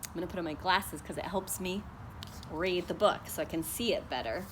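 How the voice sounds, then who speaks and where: A woman's voice: fairly low pitch, fairly quick, background noise. A woman teacher leading a morning meeting for young children, singing the days and reading a story aloud in a sing-song, patient way.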